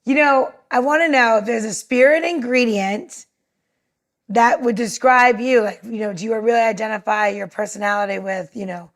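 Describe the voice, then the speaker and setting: A woman with a fairly high voice co-hosts a casual podcast. She talks earnestly and tends to ramble as she goes, in an informal conversational style. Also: steady tone, normal speed. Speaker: podcast co-host.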